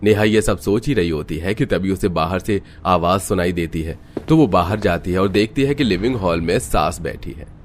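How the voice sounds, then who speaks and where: A man's voice: sits low, normal speed, a little hiss or hum. A man reading scripted narration for a cartoon story.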